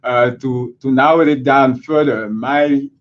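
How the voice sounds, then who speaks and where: A man's voice: medium pitch, fairly even intonation, measured pace. Man, from Haiti, cardiologist guest, hesitant, filler sounds, dull lo-fi sound. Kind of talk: interview conversation.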